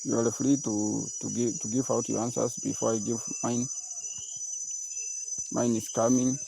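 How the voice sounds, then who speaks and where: A man from Kenya with a heavily gravelly, fry-laden voice hosts a live music lesson for viewers. He repeats his question again and again, coaxing the audience to send answers. Faint crickets and birds chirp in the background. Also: medium pitch, little pitch movement, measured pace, background noise. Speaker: music teacher hosting a live stream.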